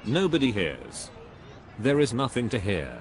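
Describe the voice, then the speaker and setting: A man performs a joyless, pompous cartoon spiritual teacher who talks down to everyone. His delivery is sententious and dismissive, with a lively swing in pitch. Faint noise runs behind the voice. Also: unhurried. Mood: dismissive, pedantic. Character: smug, joyless, preachy male spiritual know-it-all. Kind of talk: scripted animated dialogue.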